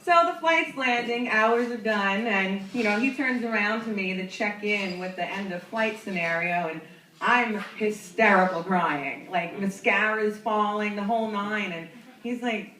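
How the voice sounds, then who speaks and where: A woman's voice: mid-range voice, a little slow, bright, forceful tone, slight background noise. Chatty woman comic in a roomy club. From New York. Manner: chatty, self-mocking, laughs through her punchlines. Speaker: stand-up comedian. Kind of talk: stand-up comedy storytelling. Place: comedy club stage. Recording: roomy club, mic from a distance.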